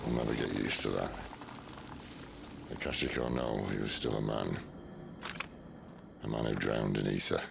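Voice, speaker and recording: An actor with a British accent voicing a wry, world-weary rebel leader in a fantasy game, dry and sardonic. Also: male voice, a bass voice, fairly even intonation, normal speed, creaky, long pauses, noisy background, heavily acted. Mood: grim. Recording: loud music over the voice.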